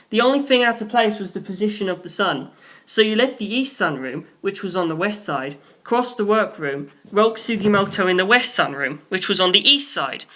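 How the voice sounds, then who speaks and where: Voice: medium pitch, fairly bright tone, close to an everyday voice. A polite young male sleuth from an audiobook, acted out by the narrator, speaks in a mid-range voice. He is confident and formal, setting out his reasoning methodically.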